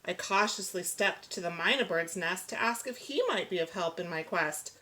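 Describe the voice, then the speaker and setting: A woman reading a children's picture book aloud, giving the rhyming verse an expressive, rhythmic delivery. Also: on the low side, normal speed, a little hiss or hum. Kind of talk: children's storybook read-aloud.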